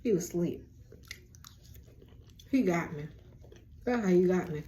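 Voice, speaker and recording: A young woman with a deep voice, hosting a rambling, casual lunchtime chat on her vlog. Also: lively intonation, a little slow, dark tone, a little hiss or hum. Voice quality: low, deep voice. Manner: chatty, rambling, self-described yapper. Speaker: vlog host.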